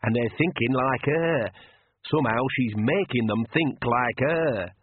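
A man's voice: medium pitch, unhurried, a little hiss or hum, lightly acted. A man voicing a blunt, sensible young woman witch who sounds exasperated. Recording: tinny phone-like dry sound.

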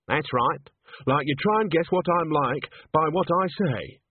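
A man's voice: on the high side, expressive, unhurried, narrow, tinny audio, slight background noise, voice pushed up. A man voicing a shrewd, stern old witch woman in a storybook, speaking bluntly with rustic grammar.

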